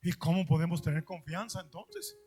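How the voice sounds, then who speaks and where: A man preaching a sermon, repeating phrases and asking his listeners questions, with loud stressed lines and slow drawn-out quiet words. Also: on the high side, slightly rough. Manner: emphatic, repeats phrases, asks the audience questions. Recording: faint music behind the voice.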